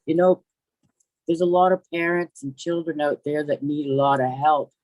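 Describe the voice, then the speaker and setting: A man's voice: a little high, fairly even intonation, normal speed, darkish tone, low-quality recording. A man giving an earnest, preachy testimonial on a video call, repeating his phrases. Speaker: webinar participant. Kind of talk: faith-filled testimonial.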